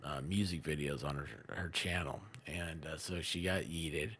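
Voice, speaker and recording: A man talking casually as a live streamer, telling stories with big swings between loud and quiet. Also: a bass voice, fairly even intonation, normal speed, husky. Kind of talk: casual chat on a livestream.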